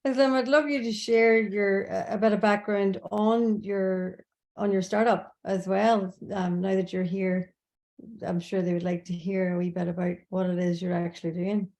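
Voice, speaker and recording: A woman's voice: medium pitch, steady tone, normal speed, a little mellow. Woman moderator, friendly and inviting. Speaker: event moderator.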